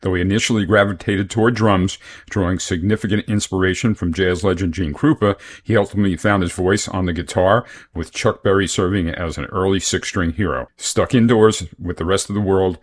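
A man's voice: deep voice, steady tone, brisk. A man hosting a radio music show, reading album notes and reviews from a script.

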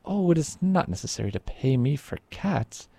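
A man voicing an earnest, excitable male merchant in a told folk tale, speaking in eager, emphatic exclamations. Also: medium pitch, moderate pace, creaky, no room sound, voice pushed up.

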